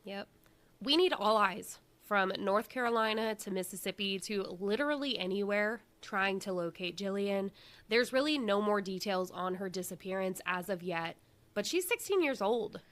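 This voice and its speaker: A woman's voice: medium pitch, a bit bright. A woman co-hosting a live broadcast segment on missing persons, bubbly and playful between earnest readings of case details. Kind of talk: casual live show chat.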